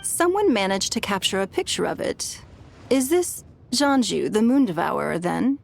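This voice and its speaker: Composed noblewoman leader for a game: medium pitch, touch of fry, poised and measured. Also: sing-song, a little hiss or hum. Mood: thoughtful. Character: composed, elegant woman leader, gently teasing, unruffled. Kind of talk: video game voice acting.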